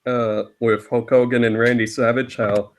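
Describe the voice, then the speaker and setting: A man's voice: medium pitch, level tone, normal speed, slight background noise. A man chatting casually as a guest commentator, with a loose, joking way of talking. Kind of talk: casual chat.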